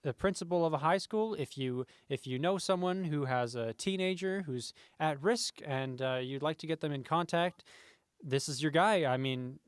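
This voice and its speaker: Man as a folksy comedy radio host, a bit high, dry close sound, halting and polite. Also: smooth, dry recording, lightly acted. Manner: polite, halting, mildly bewildered. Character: folksy adult male late-night radio show host. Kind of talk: comedic radio show hosting.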